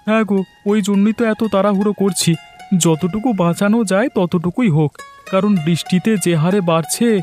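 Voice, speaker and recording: A man's voice: high-pitched, fairly quick, a little mellow, faint music behind, natural voice. A man voicing an earnest, worried young village farmer in a cartoon. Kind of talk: cartoon voice acting.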